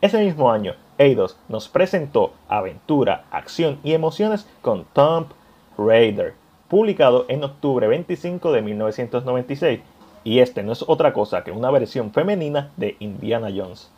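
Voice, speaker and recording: Lively man narrating a scripted video essay. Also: medium pitch, normal speed, breathy edge, lo-fi sound. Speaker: host of a video channel on cinema and video games.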